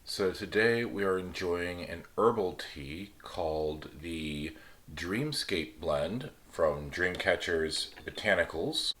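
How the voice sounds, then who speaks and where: A man hosting a storytelling show, reading a folk tale aloud in a relaxed, chatty way. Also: sits low, roomy sound. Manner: relaxed, chatty, reads aloud with small slips and laughs. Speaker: storyteller host.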